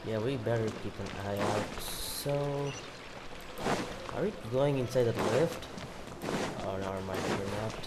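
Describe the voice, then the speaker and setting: A man's voice: on the low side, unhurried, long pauses, heavy background noise. A man giving offhand, casual gameplay commentary with game music behind him. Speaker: gamer commenting on playthrough.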